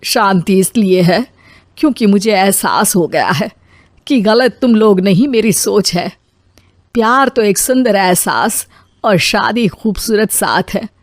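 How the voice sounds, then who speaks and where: A woman's voice: mid-range voice, measured pace, dry recording, clean studio sound, natural voice. A woman playing a domineering older mother-in-law in a dramatized family story, with a dramatic, scolding manner. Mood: reflective.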